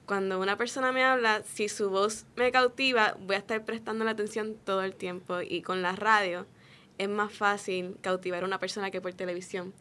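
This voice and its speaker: Young woman, flat intonation, medium pitch, straightforward, explanatory, interview guest. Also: brisk. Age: young adult. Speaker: interview guest, radio production student. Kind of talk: conversational interview answers.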